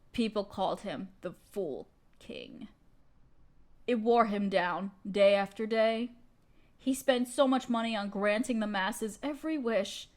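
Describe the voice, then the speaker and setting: A woman's voice: mid-range voice, close to an everyday voice. A woman playing a haughty evil queen and sorceress in an audio roleplay, speaking in a theatrical, condescending, commanding way. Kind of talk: scripted audio roleplay monologue.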